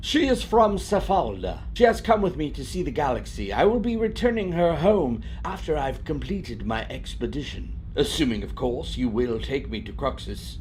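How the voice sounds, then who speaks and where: Haughty old professor, formal and deliberate. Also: male voice, fairly high pitch, very animated, darkish tone, slight background noise. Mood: imperious. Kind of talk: sci-fi drama acting.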